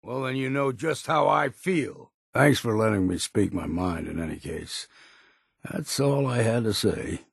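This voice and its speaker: Gravelly, gruff old island hunter. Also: male voice, mid-range voice, lively intonation, unhurried, no room sound, clean studio sound, heavily acted. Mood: earnest. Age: older. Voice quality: gravelly, husky. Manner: folksy, plain-spoken drawl with rustic grammar. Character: gruff old island hunter, weary, blunt, proud loner. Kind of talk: video game character dialogue.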